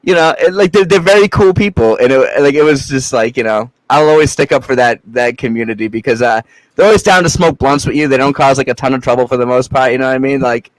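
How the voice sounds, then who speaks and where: A man's voice: mid-range voice, fast talker, no echo, rough recording. Rambling young man, dry close sound. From Massachusetts. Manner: rambling, loose, swears freely, lots of filler "like". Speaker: podcast guest. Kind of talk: casual chat.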